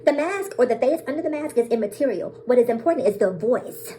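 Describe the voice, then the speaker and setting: A woman's voice: a little high, expressive, quick pace, warm tone, a little hiss or hum. A woman vlogger talking straight to her viewers in a bold, sassy way, laughing often and calling them girl, sis and baby. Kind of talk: casual to-camera monologue.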